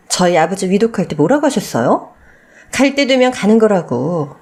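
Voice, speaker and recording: A woman's voice: medium pitch, a little mellow, natural voice. A woman voicing a wronged middle-aged daughter-in-law in a radio story, bitter, defiant and full of indignant questions.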